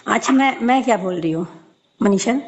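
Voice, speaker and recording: A woman's voice: medium pitch, expressive, a little fast, muffled recording. A woman in an emotional personal conversation, arguing in a pleading, insistent way and repeating herself. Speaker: participant in a three-way personal phone argument.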